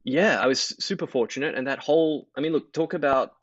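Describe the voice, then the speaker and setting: A man's voice: fairly high pitch, brisk, no echo. A man in his thirties with an Australian accent, a podcast guest talking candidly and self-deprecatingly, laughing easily. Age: 30s. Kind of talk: casual conversational interview. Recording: dull lo-fi, dry sound.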